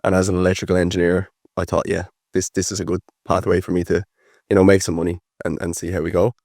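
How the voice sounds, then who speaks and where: A young man with an Irish accent talks easily as a podcast guest, wandering through his answers with a steady stream of fillers. His voice sits very low, and the sound is dry and close. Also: fast, dry recording. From Ireland. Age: 20s. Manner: relaxed, rambling with frequent fillers. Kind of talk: casual interview chat.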